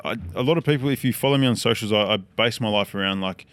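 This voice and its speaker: A relaxed Australian man in his thirties who rambles easily through his story. His voice sits low and sounds smooth and resonant. It comes across close and dry. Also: quick pace, dry recording. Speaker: podcast guest. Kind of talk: casual chat.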